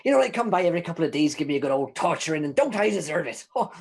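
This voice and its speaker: A man's voice: fairly high pitch, big pitch swings, very fast, slightly rough, exaggerated voice. A man voicing a chatty, cheeky imprisoned informant who rambles and stammers in old-timey folksy phrasing. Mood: rambling.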